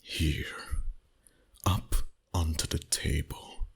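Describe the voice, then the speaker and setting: A man voicing a dominant, flirty vampire boss in an intimate ASMR roleplay, teasing slowly in a husky voice that often drops to a whisper. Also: very deep voice, little pitch movement, exaggerated voice. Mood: hungry, seductive. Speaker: voice actor. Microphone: close microphone.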